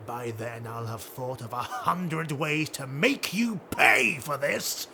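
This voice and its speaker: Snarling, cruel dark elf warlord. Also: male voice, mid-range voice, lively intonation, slow pace, background noise. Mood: seething, spiteful. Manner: snarling, commanding, contemptuous. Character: cruel, hate-filled dark elf highborn raider leader.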